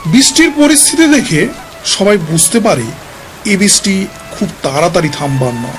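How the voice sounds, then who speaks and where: A man's voice: high voice, animated, normal speed. A man giving a dramatic scripted narration of a ghost story as storyteller. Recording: music behind the narration.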